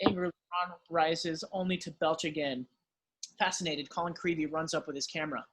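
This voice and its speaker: A man's voice: fairly high pitch, steady tone, a little fast, fairly bright tone, slight background noise, poor audio. A man narrating screenplay directions at an amateur table read.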